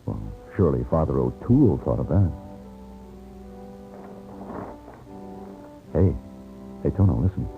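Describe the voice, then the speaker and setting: A man's voice: extremely low pitch, animated, fairly quick, music in the background. An actor playing a shrewd, persuasive American gunfighter in an old radio western, assured and wry as he acts and narrates. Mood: brisk. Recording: tinny, noisy old radio recording.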